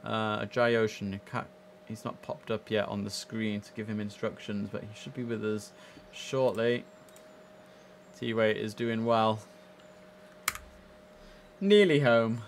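A man's voice: lowish voice, fairly even intonation, a little slow, pauses often, slight background noise. A young man chatting casually and easily as he hosts a live gaming stream, speaking into a headset.

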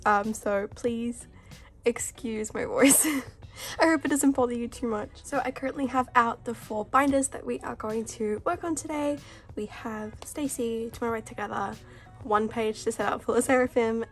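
A young woman, chatting casually as a vlogger while she sorts her binders, her voice rough from a cough, often giggling. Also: a little high. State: sounds sick, coughing voice. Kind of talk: casual chat. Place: indoors at a desk. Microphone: overhead camera, hands only.

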